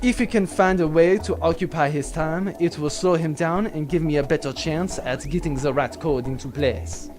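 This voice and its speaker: A man acts out a pompous French ship's technician in a comic sci-fi audio drama. He has a French accent and a flamboyant, boastful manner, with loud music behind him. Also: a little high, moderate pace, hardly any pauses, lightly acted. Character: vain, boastful French master technician and gamer. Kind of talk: comedic audio drama acting.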